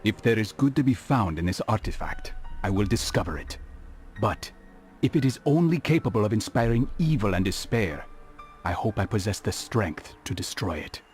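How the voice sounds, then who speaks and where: A man voicing a stern, hooded assassin master in a video game, speaking in a measured, sardonic way. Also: on the low side, lively intonation, darkish tone, soft background music, lightly acted. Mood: solemn, resolved. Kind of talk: scripted game cutscene acting.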